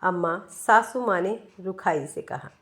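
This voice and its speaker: A woman reading a scripted first-person story in a measured, expressive way, with pauses. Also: on the low side. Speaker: storyteller, narrator.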